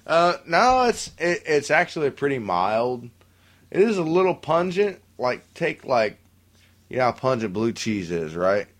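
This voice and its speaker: Laughing man in his thirties, slow and lively. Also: medium pitch. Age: 30s. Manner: laughs often, reads out news stories. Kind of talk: crude casual chat.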